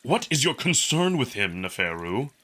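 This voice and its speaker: A man's voice: medium pitch, big pitch swings, moderate pace, bright, forceful tone. A man voicing a big, hot-tempered, protective male wolf alien in a visual novel, speaking in a stern, curt, indignant way. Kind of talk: visual novel character acting.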